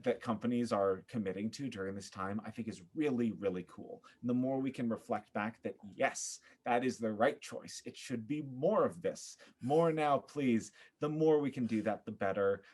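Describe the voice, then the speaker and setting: A man speaking as a podcast guest in a casual interview, earnest and effusive, often pausing to think. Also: medium pitch, a little mellow. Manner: earnest, effusive, halting with thoughtful pauses. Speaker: podcast guest, theater performer.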